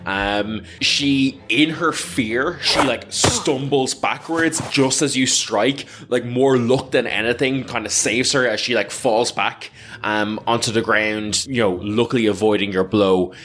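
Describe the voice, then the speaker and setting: A man narrating a tabletop role-playing game as game master, improvising descriptions in a halting, filler-heavy way. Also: medium pitch, a bit bright, slight background noise. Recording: background music under the voice.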